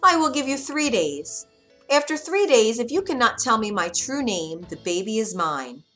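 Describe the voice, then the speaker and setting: A woman's voice: fairly high pitch, sing-song, moderate pace, fairly bright tone, soft background music, pitched above the performer's own voice. A woman voicing a sly, smug little imp man in a storybook tale, with a taunting, emphatic delivery. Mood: gloating.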